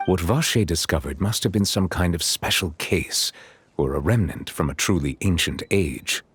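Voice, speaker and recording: An actor playing a solemn, dignified chief judge in a video game, speaking in a formal, measured and courteous way. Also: male voice, low-pitched, slight background noise, lightly acted. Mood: grave, courteous. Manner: formal, measured, erudite phrasing. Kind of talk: scripted game dialogue.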